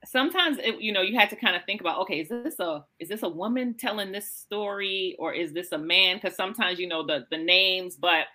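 A woman hosting a podcast in a casual, conversational way, expressive and emphatic as she talks things over with a guest. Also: mid-range voice, a little fast, hardly any pauses. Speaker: podcast host.

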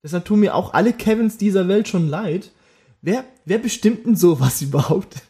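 Casual podcast co-host, man, slightly high, husky voice, rambling and jokey. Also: expressive, moderate pace, warm tone. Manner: rambling, jokey, trails off mid-sentence. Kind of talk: casual chat.

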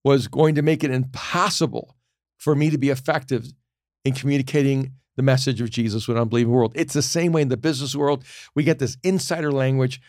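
A man's voice: medium pitch, steady tone, brisk, darkish tone, no room sound, studio quality. A middle-aged man, a pastor who teaches communication, telling earnest, reflective stories in a casual podcast conversation.

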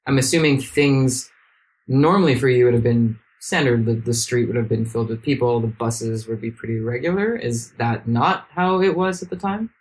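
Podcast-Moderator, ruhig und freundlich. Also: male voice, mid-range voice, moderate pace, rough recording. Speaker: podcast host. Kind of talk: podcast interview. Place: hotel room.

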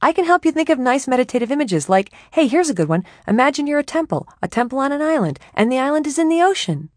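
Restless female inner mind, eager and rambling, voice pushed up high; a woman narrator plays it. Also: very animated, quick pace, darkish tone, no room sound, studio quality. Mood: eager chatter.

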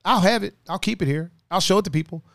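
A man hosting a casual podcast chat, talking in a playful, animated way full of slang and laughter. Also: high voice, fast, dry recording, clean studio sound. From another country, raised partly on the East Coast. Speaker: podcast host.

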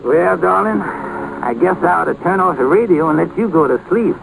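A man playing a gentle, devout, loving father in 1940s radio drama, with a creaky voice, explaining things tenderly and patiently. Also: sits high, expressive, moderate pace, background noise, full character voice. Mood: tender, soothing. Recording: old radio recording with loud music behind. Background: loud music.

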